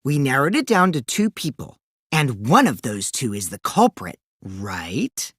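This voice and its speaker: Game role: mischievous teenage boy, taunting, theatrical liar, wildly lively pitch, dry close sound. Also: unhurried, slightly rough, dry recording, exaggerated voice. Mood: smug, calculating. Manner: theatrical, teasing, swings from sly to gleeful. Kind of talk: animated video game voice acting.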